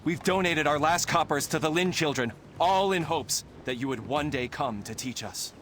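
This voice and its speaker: A man's voice: a little high, normal speed, fairly bright tone, a little hiss or hum, close to an everyday voice. A man voicing a devoted, overeager young martial arts disciple in a video game, earnest and grandiose. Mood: reverent, proud. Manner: grandiose, theatrical, fawning. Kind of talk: video game character acting.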